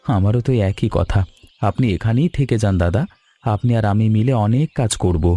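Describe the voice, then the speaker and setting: A man's voice: lowish voice, moderate pace, dark tone, slightly rough. A man plays a caring weaver in a cartoon tale. He talks eagerly and earnestly, often pleading like a devoted host. Music runs behind the voice. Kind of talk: Bengali animated story dialogue.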